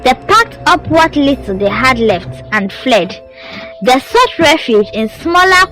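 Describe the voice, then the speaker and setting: Female folktale narrator, higher-pitched and very expressive, building suspense dramatically over background music. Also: poor audio. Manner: dramatic, suspenseful storytelling delivery. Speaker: storyteller. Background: music under the voice.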